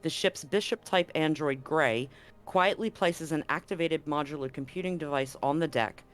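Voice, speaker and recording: A man's voice: fairly high pitch, normal speed, a bit bright, faint music behind. A man acting as game master, hosting and narrating a tabletop roleplaying game stream.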